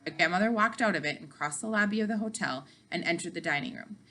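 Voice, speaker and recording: A woman narrating a children's book aloud with animated, dramatic emphasis. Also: fairly low pitch, fast talker. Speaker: read-aloud storyteller.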